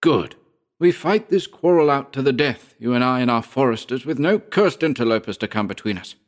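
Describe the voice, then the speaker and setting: A man's voice: mid-range voice, unhurried, pitched above the performer's own voice, natural voice. A man voicing a savage, mocking poacher, snarling and jeering at his enemy in a storybook tale. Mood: snarling defiance.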